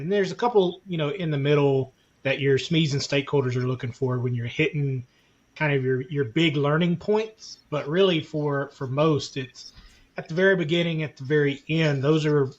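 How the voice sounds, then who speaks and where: A man in a casual podcast discussion, conversational and loosely rephrasing as he explains. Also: on the high side. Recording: slightly dull, lo-fi sound.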